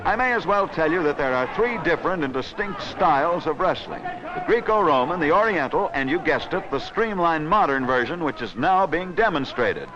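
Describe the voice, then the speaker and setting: A man's voice: fairly high pitch, expressive, moderate pace. A man delivering scripted 1940s newsreel wrestling commentary in a wisecracking, theatrical, slangy manner, on an old film soundtrack. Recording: noisy, dull old 16mm newsreel soundtrack.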